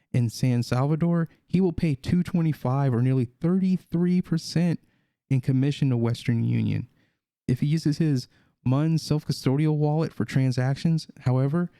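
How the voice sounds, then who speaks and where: A man hosting a video, reading a news article aloud and adding his own comments, halting with fillers and restarts. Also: medium pitch, gravelly, mellow, dry recording, clean studio sound. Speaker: crypto video host.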